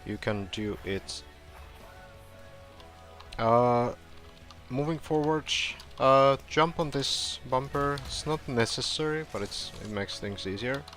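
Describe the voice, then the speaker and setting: A man casually talking through a game walkthrough, relaxed and rambling with fillers, his voice going hoarse. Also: mid-range voice, takes it slowly, faint music behind. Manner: relaxed, rambling, with frequent fillers and self-corrections. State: hoarse, clearing his throat. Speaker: gameplay tutorial streamer. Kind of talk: casual gameplay walkthrough commentary.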